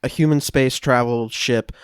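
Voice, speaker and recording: A man co-hosting a podcast, casually recapping a film to friends in a halting, conversational way. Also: mid-range voice, steady tone, clear, resonant tone, no room sound, studio quality. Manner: halting, loosely conversational storytelling. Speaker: podcast co-host.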